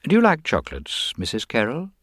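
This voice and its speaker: A man's voice: mid-range voice, wildly swooping pitch, a little fast, dry recording, clean studio sound, voice pushed up. A man voicing a mild, courteous government investigator in a radio drama, speaking politely, precisely and with some hesitation. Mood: gently regretful. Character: mild, diffident, courteous middle-aged male government investigator.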